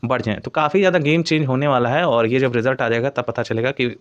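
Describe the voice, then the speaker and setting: A man's voice: mid-range voice, fast talker, no echo, highs cut off. A man giving an informal explainer as an exam coaching presenter, often padding his points with stock phrases.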